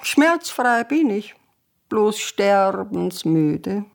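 Creaky, slow-spoken frail old lady. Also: mid-range voice, very animated, heavily acted. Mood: weary, bitter. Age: older. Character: frail, weary old woman, former stage actress in care home. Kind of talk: radio drama acting.

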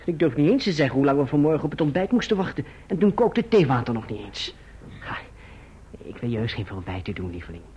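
An actor playing a patient, doting young husband in an old radio drama, speaking in a tender, coaxing, earnest way. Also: male voice, medium pitch, big pitch swings, quick pace, warm tone, natural voice. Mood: reproving, patient. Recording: dull, faintly noisy old radio recording.

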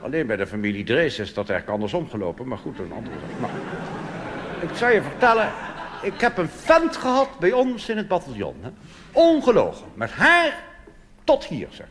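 A man's voice: on the high side, wildly swooping pitch, normal speed. A man doing a pompous old army officer. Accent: Dutch. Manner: pompous, rambling, tag questions. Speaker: cabaret comedian. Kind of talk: stand-up cabaret monologue in character. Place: theater stage before a live audience. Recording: live stage recording with hall reverb and hiss.

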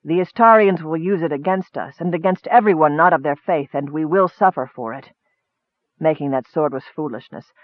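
A man's voice: fairly high pitch, no echo, highs cut off, close to an everyday voice. Man reading as a grave, reserved elf. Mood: troubled resolve. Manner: measured, sober, formal. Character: grave, troubled male elf, reserved and resolute.

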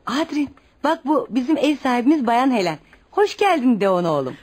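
A woman's voice: high voice, very animated, fairly quick, darkish tone. An actress in a radio play playing a poor, devout widowed mother, protective and anxious, who speaks in an earnest, instructive way. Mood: sociable, curious. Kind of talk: radio theater acting.

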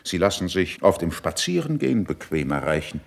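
An actor playing a cool, shrewd, middle-aged statesman in a classical verse radio play, measured and dryly ironic in his delivery. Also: sits low, sing-song, vocal fry, full character voice. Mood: sarcastic, superior. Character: cool, shrewd, condescending older male statesman.

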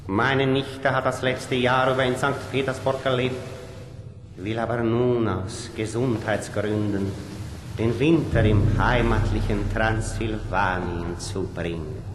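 Courtly, commanding vampire count male actor, slow formal delivery, radio play, very echoey with noise. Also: lowish voice, fairly even intonation, natural voice. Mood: courtly, composed. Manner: formal, measured, ceremonious. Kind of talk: radio drama acting. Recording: echoey hall with background noise.

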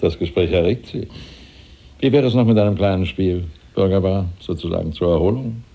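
An actor playing a shrewd, flattering banker of Napoleonic France in a German radio drama, speaking politely and insinuatingly. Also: male voice, deep voice, brisk, gravelly, dull audio. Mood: persuasive.

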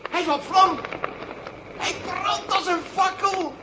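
A man's voice: very high-pitched, slow pace. A man acting in a 1970 Dutch radio drama as an eager, obedient lookout who reports alertly in short phrases. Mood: excited. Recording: noisy old radio drama recording.

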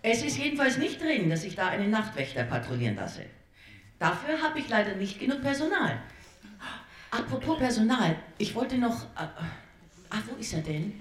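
A woman as an exasperated care-home manager in a radio drama, official yet a bit flustered. Her highish voice swings animatedly and rings in an echoey room. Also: big pitch swings, moderate pace.

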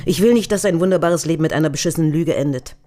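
An actress playing a determined, grieving lover in a radio crime drama, speaking in short, insistent phrases. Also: female voice, deep voice, racing, mellow, faint music behind, natural voice. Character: determined grieving mistress seeking truth about dead lover.

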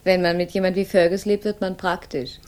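A woman's voice: on the low side, flat delivery, brisk, darkish tone, natural voice. An actress playing a friendly, practical young flower-power woman, frank and breezy, in 1960s radio drama style.

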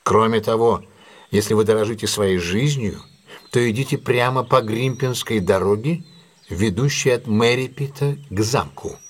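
An actor playing a shrewd, self-assured detective in an audio drama, with a husky voice and a brisk, imperious, theatrical manner. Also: male voice, fairly low pitch, lightly acted. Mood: commanding. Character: commanding, shrewd, self-assured male detective plotting a trap.

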